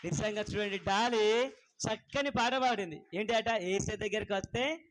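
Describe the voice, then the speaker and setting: A man's voice: high voice, normal speed, a bit bright, rough recording. A man hosting a children's puppet show, encouraging and instructive, often putting questions to the kids. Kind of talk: animated children's ministry presenting.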